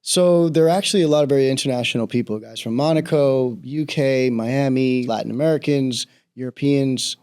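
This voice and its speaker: A middle-aged man from the Bahamas talks through his stories in an easy, rambling interview chat, laughing now and then. His voice has a gravelly fry at mid pitch. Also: fairly quick, hardly any pauses. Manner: relaxed, rambling storyteller, laughs easily. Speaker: real estate broker, guest. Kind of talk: casual interview conversation.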